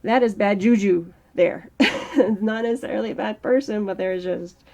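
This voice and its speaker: A woman in her early thirties talking slowly and reflectively in a personal vlog, with a strained throat. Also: mid-range voice, creaky, warm tone. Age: early 30s. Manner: slow, halting, reflective. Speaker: channel host. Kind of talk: personal mental health vlog monologue.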